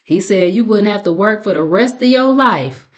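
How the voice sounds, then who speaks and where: A woman's voice: mid-range voice, animated, moderate pace, muffled recording. An American woman hosting a commentary channel, giving a casual gossip recap in a sassy, mocking, colloquial way. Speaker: commentary channel host and narrator.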